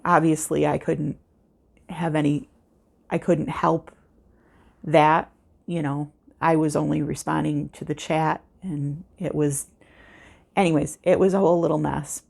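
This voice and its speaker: Low-pitched, creaky American woman. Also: unhurried. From United States. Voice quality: calming. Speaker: craft vlogger, host. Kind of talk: casual unscripted vlog chat. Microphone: external microphone with a cell phone.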